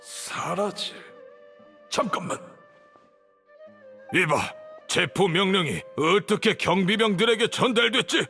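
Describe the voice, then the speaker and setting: An actor playing an imperious, suspicious older grand sage in a game, with a haughty, commanding manner. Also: male voice, high-pitched, lively intonation, slow pace, gravelly, pauses often, pushed and bright, faint music behind, exaggerated voice. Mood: agitated, disbelieving. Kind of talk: dramatic Korean game voice acting.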